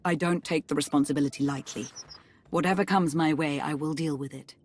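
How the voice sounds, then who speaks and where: An actress playing a composed, dutiful Sith intelligence chief in a video game, proud yet self-reproachful, speaking formally and earnestly. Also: female voice, sits low, steady tone, brisk. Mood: regretful resolve. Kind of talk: dramatic video game cutscene acting.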